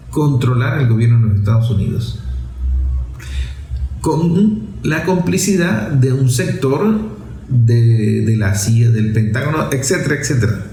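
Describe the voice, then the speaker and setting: Man, mellow voice in echoey room. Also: mid-range voice. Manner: emphatic, rhetorical repetition, stretching key words for stress. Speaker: political commentator. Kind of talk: opinionated political commentary, unscripted. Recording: roomy, echoing voice recording.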